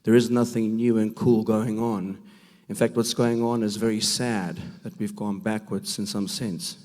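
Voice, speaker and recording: A man with a rough, gravelly voice from a cold, giving a preaching talk at a men's conference, emphatic and full of rhetorical questions. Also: fairly low pitch, flat delivery, unhurried, a little mellow. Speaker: conference speaker. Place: church hall. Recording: long reverb of a large hall.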